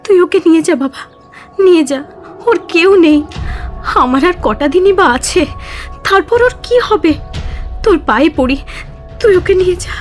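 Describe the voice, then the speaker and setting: A woman's voice: very high voice, animated, measured pace, long pauses, soft background music. A woman playing an aging village mother in an audio drama, desperate and choked with tears. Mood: desperate pleading.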